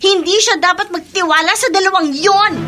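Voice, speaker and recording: Shrill, spiteful spoiled young woman. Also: moderate pace, sharp tone, faint music behind. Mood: spiteful, threatening. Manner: snappy, bratty, exasperated. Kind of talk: Tagalog radio drama acting.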